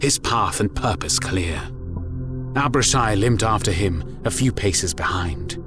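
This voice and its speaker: A man with a very low voice delivers dramatic story narration, grave and deliberate. Prominent music plays behind him throughout. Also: animated.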